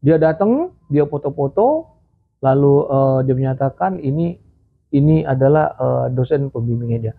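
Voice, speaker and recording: A man's voice: medium pitch, animated, moderate pace, vocal fry. A man hosting a casual political commentary show, rambling with many fillers and a slight cough. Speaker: host. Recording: tinny phone-like audio.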